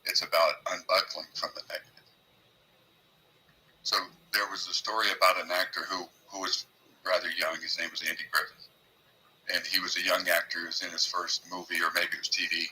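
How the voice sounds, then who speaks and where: A man's voice: low-pitched, level tone, fairly quick, pushed and bright, a little hiss or hum, poor audio. A man joining a livestream as a guest, giving earnest motivational commentary and telling stories with many ums and uhs. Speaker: guest participant.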